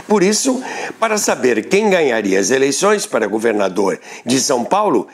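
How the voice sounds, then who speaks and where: A man, narrating a scripted history documentary in a measured storytelling delivery. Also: fairly high pitch.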